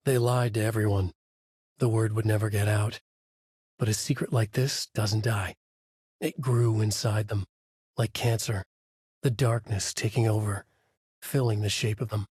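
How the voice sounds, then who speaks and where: An actor playing a brooding, haunted male writer who gravely narrates his own horror story in a husky voice for a video game. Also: fairly low pitch, no echo, clean studio sound.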